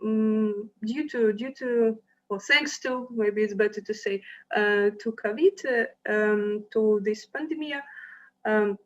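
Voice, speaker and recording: A woman's voice: medium pitch, slow pace. Hesitant woman presenting over a muffled call. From Ukraine. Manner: hesitant, many fillers and restarts. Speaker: university representative presenting to applicants. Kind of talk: online presentation with slides.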